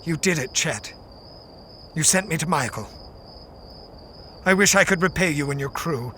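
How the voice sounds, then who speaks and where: An actor playing an earnest, bewildered scientist in an audio drama, speaking haltingly and repeating himself. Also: male voice, a little high, animated, pushed and bright, slight background noise. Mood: awed, somber. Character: earnest, bewildered male scientist stranded in a parallel universe.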